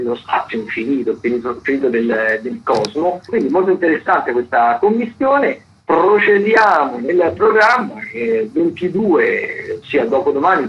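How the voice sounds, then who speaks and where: A man hosting radio, informally running through arts listings and often hesitating as he searches for words. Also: on the high side. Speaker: radio host. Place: radio studio. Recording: dull, lo-fi radio recording, background noise.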